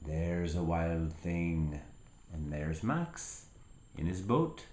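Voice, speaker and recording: A man reading a picture book aloud to a small child as a father, theatrical and playful, with asides and drawn-out words. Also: sits low, animated, darkish tone, echoey room, a little hiss or hum.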